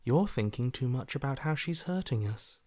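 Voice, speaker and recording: An actor playing a detached, emotionless inner voice in a video game, dryly sardonic in manner. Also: male voice, medium pitch, expressive, moderate pace, a little mellow, dry recording, clean studio sound, natural voice. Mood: cold, detached. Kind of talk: scripted game voice acting.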